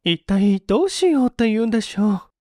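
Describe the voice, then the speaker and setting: A man acts out a fearful mother in a storybook reading. The voice is pitched high and swings anxiously. The speech is polite, feminine and pleading. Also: lively intonation, a little fast, darkish tone, no room sound, studio quality. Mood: worried.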